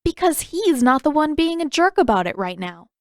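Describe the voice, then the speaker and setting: A woman voicing a guarded, sharp-tongued teenage sister in an audiobook story, with a dry, teasing, pointed manner. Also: high-pitched, sing-song, moderate pace, dry recording, voice pushed up. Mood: smug, teasing.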